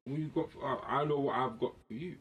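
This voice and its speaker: A man's voice: medium pitch, fast, phone-quality sound, slight background noise. A man hosting a podcast, arguing in a blunt, slangy, casual way. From West Indian heritage. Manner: outspoken, argumentative, slangy. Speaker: podcast host. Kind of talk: casual heated discussion.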